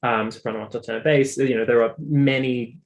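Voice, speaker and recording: A man's voice: mid-range voice, steady tone, fairly quick, creaky. A British man talking casually in an interview, explaining his own story in a talkative, emphatic way. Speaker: interview guest, singer and vocal coach.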